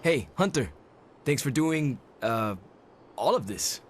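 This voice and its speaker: A man's voice: medium pitch, very animated, takes it slowly, slight background noise. An actor playing a friendly, loyal, slightly awkward young man in a video game, speaking casually. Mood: friendly, earnest.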